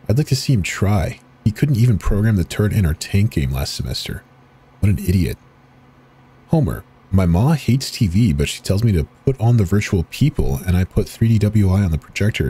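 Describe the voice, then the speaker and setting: A man giving a slow, deliberate dramatic reading of forum posts and web pages in an ominous tone. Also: sits low, dark tone, husky. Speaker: channel host reading horror texts.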